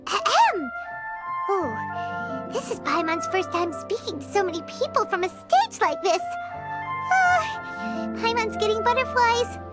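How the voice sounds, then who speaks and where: A tiny game fairy with an extremely high, squeaky, childlike voice. The companion is bubbly and emphatic, swinging widely in pitch, over prominent music. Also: female voice, big pitch swings, measured pace, heavily acted. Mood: nervous. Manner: bubbly, emphatic, refers to self in third person. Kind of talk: video game voice acting.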